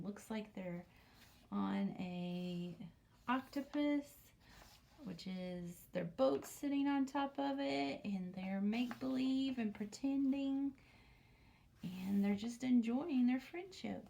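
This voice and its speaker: A woman teacher reading a picture book aloud to young children, commenting and asking questions, often dropping into a hushed near-whisper. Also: medium pitch, vocal fry. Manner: asks the listening children questions.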